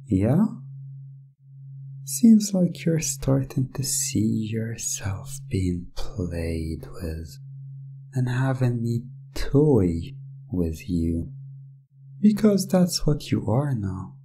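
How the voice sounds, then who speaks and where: A man playing a smug, controlling owner of a magic gem in a hypnosis ASMR roleplay, hushed, coaxing and condescending. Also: fairly low pitch, very animated, slight background noise, full character voice. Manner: slow, hushed, coaxing and condescending, slips into whispers. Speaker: roleplay audio performer.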